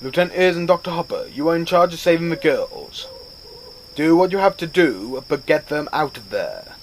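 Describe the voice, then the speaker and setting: A man's voice: a little high, lively intonation, moderate pace, slight background noise, low-quality recording. Man playing a commanding rabbit starship captain. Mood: resolute. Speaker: ship captain giving orders. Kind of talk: science fiction audio drama acting.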